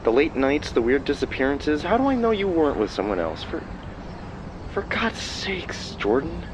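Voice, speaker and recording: A man acting as a hurt, pleading cop ex-boyfriend in an audio drama, smooth and earnest over an earpiece call. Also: a little high, big pitch swings, normal speed. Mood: pleading, frustrated. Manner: earnest, wounded. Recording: noisy earpiece call with street ambience.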